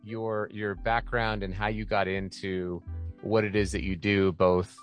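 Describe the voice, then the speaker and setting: A man hosting a podcast interview, chatty and quick to laugh, often restarting his phrases. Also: lowish voice, level tone, soft background music. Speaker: podcast host. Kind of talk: casual interview.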